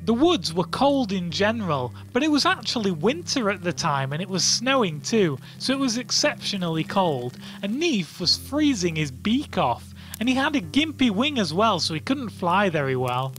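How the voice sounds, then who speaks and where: An informal storyteller reads a comic fairy tale with highly animated intonation. The telling is playful and colloquial, over background music. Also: normal speed, hardly any pauses, rough recording.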